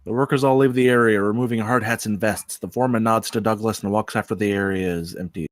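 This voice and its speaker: A man's voice: on the low side, fairly even intonation, quick pace, no room sound, clean studio sound. A man reading screenplay stage directions aloud as scripted narration.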